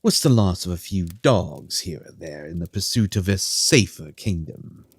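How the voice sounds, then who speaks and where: A man's voice: sits low, animated, moderate pace, slightly rough, dry recording, full character voice. A man playing a haughty, dismissive king in a fantasy audio drama, imperious and contemptuous. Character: haughty, dismissive king giving orders to subjects.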